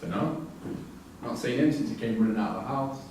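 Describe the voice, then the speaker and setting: A man's voice: medium pitch, animated, fairly quick, darkish tone, close to an everyday voice. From far back in an echoey hall with faint noise, an actor plays a naive male prisoner. He talks in a plain, colloquial, matter-of-fact way, like a live stage reading. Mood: flat, plain. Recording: very echoey hall, distant mic, hiss.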